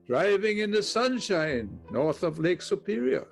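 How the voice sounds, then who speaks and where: A man's voice: high voice, big pitch swings, measured pace, creaky, faint music behind, exaggerated voice. An older man playing a chorus figure in an audio play, declaiming short evocative phrases.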